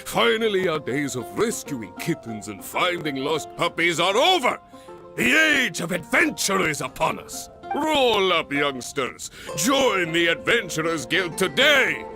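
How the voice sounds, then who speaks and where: A man's voice: high voice, exaggerated voice. An actor playing an overeager, theatrical young male adventurer recruiting for his guild. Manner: theatrical, boastful.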